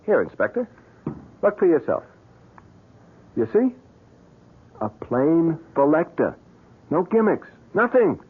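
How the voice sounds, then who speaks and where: An actor in an old-time radio drama playing a stubborn, impulsive photographer who argues and cajoles with urgent emphasis. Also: male voice, fairly high pitch, big pitch swings, slow pace, pauses often, slight background noise. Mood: challenging. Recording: muffled, husky old radio broadcast.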